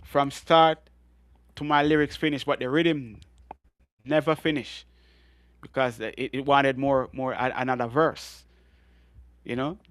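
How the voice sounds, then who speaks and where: Man, Jamaican accent, deep voice, lively storytelling, dry recording. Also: unhurried, long pauses, no echo. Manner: animated storytelling with frequent laughs and restarts. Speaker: guest reggae artist. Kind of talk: storytelling interview.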